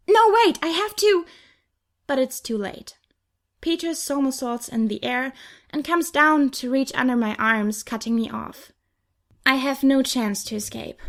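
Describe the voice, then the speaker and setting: High-pitched woman narrating young adult fiction. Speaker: audiobook narrator. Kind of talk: scripted first-person fiction narration.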